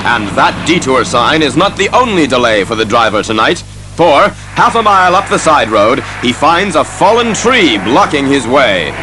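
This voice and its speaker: A man's voice: medium pitch, very animated, moderate pace, pushed and bright, faint music behind. A man narrating an audio drama in a dramatic, scripted storytelling manner.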